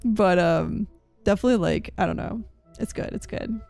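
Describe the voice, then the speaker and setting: A young woman game streamer chatting casually with her viewers in an expressive, meandering way. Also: mid-range voice, normal speed, gravelly. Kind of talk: casual live-stream chat. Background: faint game music.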